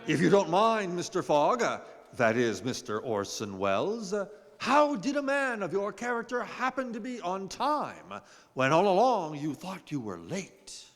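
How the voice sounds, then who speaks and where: A man playing a formal, courteous 1930s radio announcer and gentleman, speaking in a measured way. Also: sits high, very animated, heavily acted. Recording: noisy echoing hall with audience.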